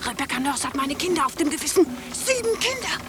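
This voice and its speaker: A bitter mother, cries out hysterical accusations in an extremely high, shrill voice. Clear noise fills this old radio drama street scene. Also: very animated, fast. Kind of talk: 1950s German radio play acting.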